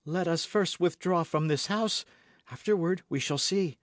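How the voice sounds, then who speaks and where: A man's voice: sits high, measured pace, dry recording, clean studio sound, voice pushed up. A man reading an audiobook as a brave, eager young guardsman with an emphatic, courtly way of speaking. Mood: urgent, decisive. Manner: emphatic, courtly, formal speech.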